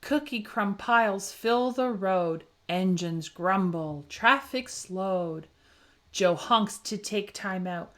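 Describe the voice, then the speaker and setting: A woman reading a children's picture book aloud with expressive, rhythmic phrasing and dramatic emphasis for young listeners. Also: medium pitch, slow. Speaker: children's story reader.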